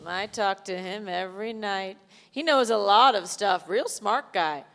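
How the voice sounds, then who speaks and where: A woman's voice: medium pitch, a little slow, gravelly, a bit bright. Woman acting a crude flirty bar regular lady, blunt n casual, stage play, big echoey hall. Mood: chatty. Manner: foul-mouthed, casual and blunt. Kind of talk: live staged play reading. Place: theatre stage before a live audience. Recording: reverberant theatre hall, live stage.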